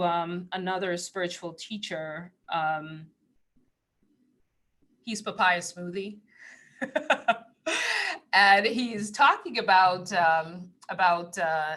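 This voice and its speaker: A woman with a lilt talks casually to her live-stream audience in a bright, clear tone. She rambles from thought to thought, laughs often and drops into hushed asides. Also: lowish voice. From Trinidad. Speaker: spiritual teacher on a live stream.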